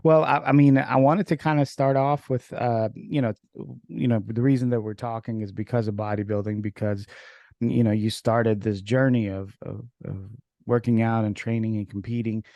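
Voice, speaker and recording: A man from Ukraine whose first language is Russian, hosting a podcast over a video call in relaxed, filler-heavy chat. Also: medium pitch, normal speed, no room sound, clean studio sound. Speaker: podcast host. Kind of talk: casual interview chat.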